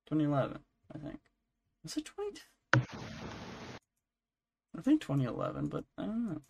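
A man's voice: fairly high pitch, huge pitch swings, moderate pace, vocal fry, noisy background. A man co-hosting a podcast, chatting casually about pop culture and thinking aloud as he rambles. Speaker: podcast co-host.

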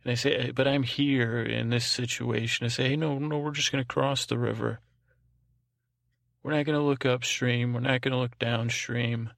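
A man hosting a sleep podcast with a meandering, halting bedtime ramble in a lulling, soothing tone, often repeating himself and trailing off. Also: mid-range voice, steady tone, a bit bright, no room sound, clean studio sound. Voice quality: creaky, gravelly. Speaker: podcast host. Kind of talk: rambling improvised bedtime monologue. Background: music.

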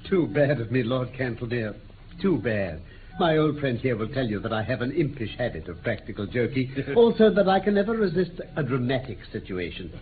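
An actor playing an impish, self-assured British detective in a 1950s radio drama, with courteous, theatrical, mock-deferential manners. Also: male voice, medium pitch, big pitch swings, brisk, crowd noise, close to an everyday voice. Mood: playfully apologetic. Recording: muffled, noisy 1950s radio recording.

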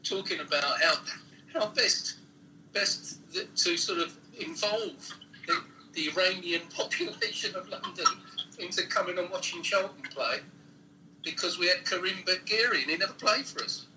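A man hosting an interview, with a somewhat higher voice and a very bright tone. He nudges the conversation along with leading questions, and there is faint background noise. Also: moderate pace, low-quality recording. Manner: prompts the guest with leading questions. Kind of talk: podcast interview.

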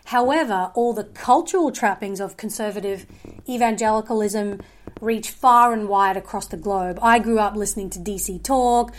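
A woman's voice: mid-range voice, normal speed. A woman, talking earnestly as a guest in a podcast interview, with many fillers and restarts. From Australia. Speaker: guest filmmaker.

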